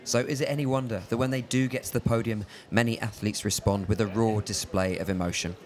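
A man hosting a radio show, presenting in a smooth, scripted way. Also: fairly low pitch, steady tone, fast, darkish tone. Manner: smooth, polished delivery. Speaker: radio host.

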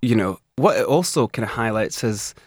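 A man speaking as a musician guest in a studio interview, talking in an animated, emphatic way with frequent restarts. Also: mid-range voice, normal speed, husky. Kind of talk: interview conversation.

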